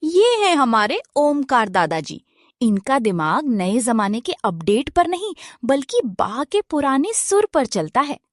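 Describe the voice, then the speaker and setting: Woman as cheeky young daughter-in-law, cartoon narrator, high voice, slow pace, playful and dramatic. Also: sing-song, dry recording, clean studio sound. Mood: playful, teasing. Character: cheeky, modern young daughter-in-law introducing her household. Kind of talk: scripted storytelling addressed to viewers.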